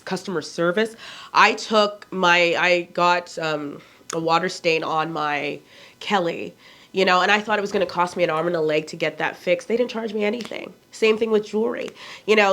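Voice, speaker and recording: A woman's voice: lowish voice, a bit bright. A woman in her forties with a Nigerian accent, chatting casually to camera as a fashion vlogger, blunt and candid, asking herself rhetorical questions. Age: 40s.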